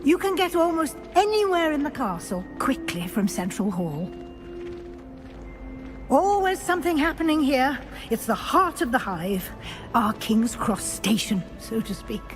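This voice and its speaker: An actress playing a brisk, exacting witch professor in a video game, with a British accent and a crisp, formal schoolmistress manner. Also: sits high, big pitch swings, over music. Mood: brisk, instructive. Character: brisk, exacting female witch professor guiding a new student. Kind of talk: video game character dialogue.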